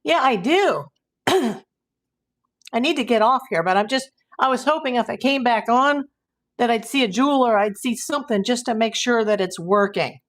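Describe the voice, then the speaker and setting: A woman hosting a casual livestream, hoarse and losing her voice, rambling in exasperation while she reads viewers' comments. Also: fairly high pitch, moderate pace. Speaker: YouTube livestream host.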